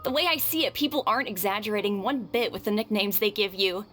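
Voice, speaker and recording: A woman's voice: medium pitch, fast, sharp tone, faint music behind. A woman voicing a supportive, admiring young classmate in an anime video game, earnest and encouraging.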